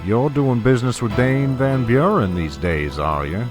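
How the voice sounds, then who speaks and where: A man playing an older, scheming oil tycoon in an audio drama, blackmailing with mock politeness and quiet menace. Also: medium pitch, animated, a little slow, close to an everyday voice. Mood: smug menace. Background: music.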